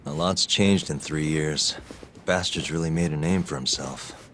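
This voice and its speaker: Low-voiced, blunt, dogged investigator. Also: male voice, moderate pace, fairly bright tone, slight background noise. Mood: wry, firm. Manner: blunt, insistent. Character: dogged male investigator, blunt, calm, persistent. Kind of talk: dramatic video game dialogue.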